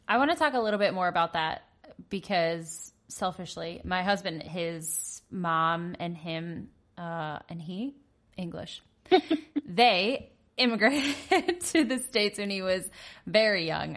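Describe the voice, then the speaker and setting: A woman's voice: on the low side, a bit bright. A woman hosting a conversational interview, asking questions and laughing often. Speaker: host.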